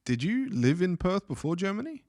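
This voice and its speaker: A man's voice: high voice, sing-song, normal speed, a little mellow, no echo, clean studio sound. A man hosting a podcast in casual, crude, joking chat, swearing freely. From Australia. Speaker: podcast host. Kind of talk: casual profane interview chat.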